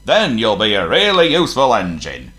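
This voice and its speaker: A man voicing a stern, portly male railway boss who booms and scolds. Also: huge pitch swings, normal speed, fairly bright tone. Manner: booming, scolding. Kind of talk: storybook character voice.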